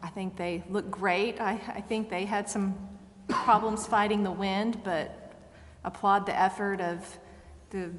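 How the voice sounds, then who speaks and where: A woman's voice: mid-range voice, fairly even intonation, measured pace, hardly any pauses. An American woman making informal remarks as a council member, searching for words as she goes. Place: council chamber. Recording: echoey hall, faint noise.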